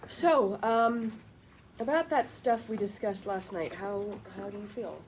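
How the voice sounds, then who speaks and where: A woman's voice: medium pitch, a little slow, lightly acted. A woman playing an awkward but composed career-woman friend in a sitcom table read. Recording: clearly noisy room recording.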